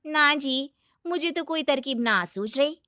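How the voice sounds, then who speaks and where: A woman voicing a moneylender's eager, flattering, greedy wife in a moral story, agreeable and fawning. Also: high-pitched, unhurried, no room sound, studio quality, exaggerated voice. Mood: fawning, pleased. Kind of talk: animated moral-story character dialogue.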